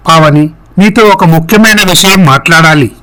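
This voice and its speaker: A man's voice: a little high, normal speed, pushed and bright. A man playing an earnest, persuasive young man in love in a story, pleading and reassuring.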